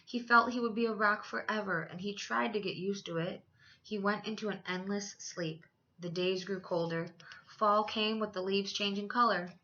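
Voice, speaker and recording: A woman's voice: medium pitch, fairly even intonation, normal speed, sharp tone, muffled recording. A woman reading a children's story aloud as a teacher, expressively, with dramatic emphasis for young listeners.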